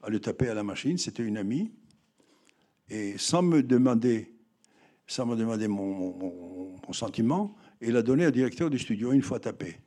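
Older man, mellow, halting recollection. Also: lowish voice, lively intonation. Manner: halting, with many fillers and restarts. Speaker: film director interviewed. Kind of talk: reminiscing interview answers.

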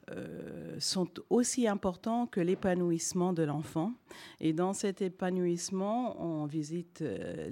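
A woman's voice: lowish voice, warm tone. A middle-aged woman whose first language is English, talking to an audience about her path as a singer, hesitant with many fillers and restarts. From Canada. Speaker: guest singer and voice teacher. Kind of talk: spontaneous talk to an audience.

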